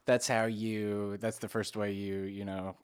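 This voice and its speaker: A man with a fairly low-pitched voice in an informal conversation. He speaks in an easygoing, somewhat halting way, circling back to rephrase his questions and thoughts. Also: flat delivery, clean tone. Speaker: conversation partner asking questions. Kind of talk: casual chat.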